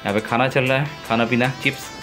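A man narrating his own travel vlog in a relaxed, enthusiastic way, pausing often with fillers, filmed on a phone outdoors on a river boat. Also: medium pitch, music in the background. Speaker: travel vlogger.

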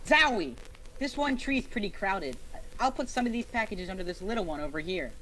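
A woman playing an excitable, gawky teenage schoolboy with gushing delivery in old-time radio comedy style. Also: mid-range voice, fairly quick, background noise, lightly acted. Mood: excited. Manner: gushing, slangy.

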